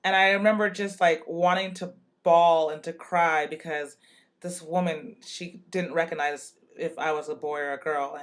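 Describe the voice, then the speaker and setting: Deep-voiced woman telling a story. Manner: chatty, relaxed, many "like" and "you know" fillers. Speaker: speaker sending a recorded message to a youth project. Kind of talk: casual personal storytelling.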